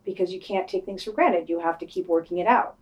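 Casting: woman, lowish voice, opinionated podcast panelist, loose conversational delivery with casual swearing. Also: fast, dull audio. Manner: opinionated, informal, frequent swearing. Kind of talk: casual discussion.

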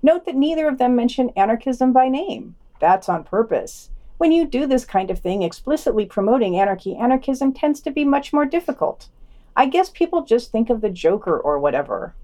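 A woman's voice: fairly high pitch, very animated, normal speed, dark tone. A woman reading scripted podcast news and adding her own commentary.

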